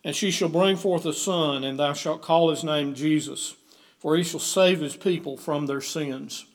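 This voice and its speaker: A man's voice: on the high side, measured pace. A man, the pastor, leading a church service and reading scripture aloud in a church sanctuary. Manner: leads a hymn, then reads scripture aloud. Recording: roomy church echo with organ music.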